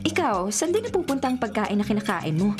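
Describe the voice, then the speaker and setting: Actress as a bold young woman in love, Filipino accent, teasing, swooping animated pitch, radio romcom, music underneath. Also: a little fast, lightly acted. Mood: playful. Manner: teasing, coquettish, exclaiming. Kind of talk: Filipino radio romantic comedy drama acting. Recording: music bed behind the voice.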